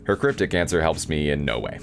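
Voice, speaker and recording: A man's voice: rumbling low, expressive, brisk, vocal fry, soft background music, full character voice. A man voicing a sardonic, disappointed young male protagonist, narrating a visual novel in a dry, incredulous way.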